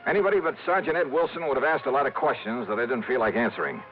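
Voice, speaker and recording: An actor playing a hardboiled, curt investigator, clipped and dry, in 1950s radio detective narration and dialogue. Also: male voice, mid-range voice, animated, rapid-fire, natural voice. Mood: dry, narrating. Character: hardboiled, curt male freelance insurance investigator narrating his case. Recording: dull, noisy old radio recording.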